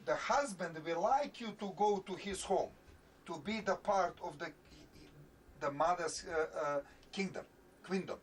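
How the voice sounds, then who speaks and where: A man with a Serbian accent, a non-native English speaker counseling someone, pausing often and searching for his words. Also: high voice, slight background noise. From former Yugoslavia. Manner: halting, searching for words, full of fillers. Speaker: mentor and judo teacher. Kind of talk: counseling conversation.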